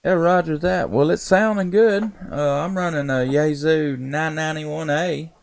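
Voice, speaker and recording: A man's voice: on the high side, measured pace, vocal fry, a little hiss or hum. A man chatting casually and in a folksy, laid-back way as an amateur radio operator on a live stream. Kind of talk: casual ham radio chat.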